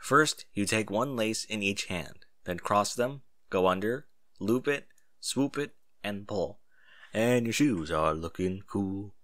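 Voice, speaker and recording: A man voicing a serious but fatherly military captain, speaking in a measured, instructive way for a story. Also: lowish voice, animated, a bit bright, no echo, studio quality. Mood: patient, kindly.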